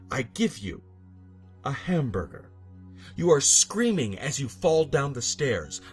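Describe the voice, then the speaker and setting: A man giving a solemn, theatrical and deliberate scripted narration of a strange horror story. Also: mid-range voice. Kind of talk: dramatic scripted creepypasta narration. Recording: music bed behind the voice. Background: background music.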